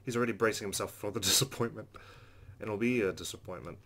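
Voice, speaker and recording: Guy doing a let's play, kinda low voice, reading story narration out loud w/ little side comments. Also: fast talker. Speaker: let's play host reading a visual novel aloud. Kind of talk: expressive read-aloud narration with casual asides.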